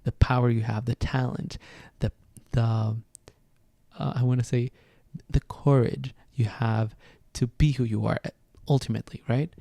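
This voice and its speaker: Venezuelan guy hosting a podcast, gravelly low-toned voice, dry close sound, thinks out loud. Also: little pitch movement, a little slow, dry recording. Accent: Venezuelan Spanish. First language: Spanish. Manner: reflective, searching for words, many fillers. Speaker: podcast host. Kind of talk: casual solo podcast talk on astrology.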